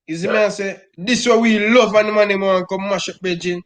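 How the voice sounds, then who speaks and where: Middle-aged Jamaican Patois man, high voice, emphatic fan rant, keeps saying "brother.". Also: steady tone, a little fast, a bit bright. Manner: emphatic, repeats "brother" constantly. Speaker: football fan guest.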